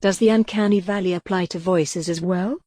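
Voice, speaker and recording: A synthetic female text-to-speech voice playing a calm, wry retro computer who thinks she's a cat, deadpan in an audio comedy drama. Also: fairly low pitch, moderate pace, dry recording, studio quality, natural voice. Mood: calm, matter-of-fact.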